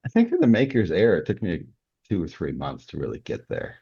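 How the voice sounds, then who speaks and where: An older man with an extremely low voice that has a husky edge. He speaks as an interview guest, explaining things in a conversational way. The audio is somewhat dull and lo-fi. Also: huge pitch swings, a little fast, dark tone. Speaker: podcast guest, novelist. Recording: dull, band-limited audio.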